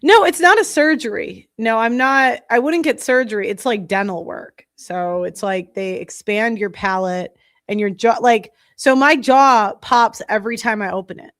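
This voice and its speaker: A woman's voice: fairly high pitch, moderate pace, a bit bright. A young woman with a nasal voice and heavy breathing, chatting bluntly and casually to viewers as a podcast host. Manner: rambling, blunt, many fillers. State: breathing heavily.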